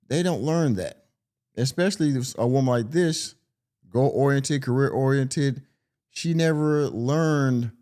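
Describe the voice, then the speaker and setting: Podcast guy reading an article out loud, sarcastic running commentary, drags words out slow. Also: mid-range voice. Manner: drawling, sarcastic, stretches words for emphasis. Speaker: podcast host.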